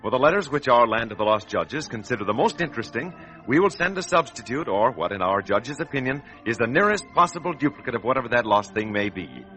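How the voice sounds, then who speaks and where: A man's voice: mid-range voice, animated, fast, sharp tone, soft background music, rough recording. An American man announcing a 1940s children's radio show in a hearty, salesmanlike manner, on an old radio recording.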